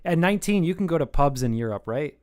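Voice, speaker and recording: An American man chatting casually on a live art stream, thinking out loud as he talks. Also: on the high side, expressive, fast talker. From United States. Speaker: streaming artist. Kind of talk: casual chat while drawing on stream.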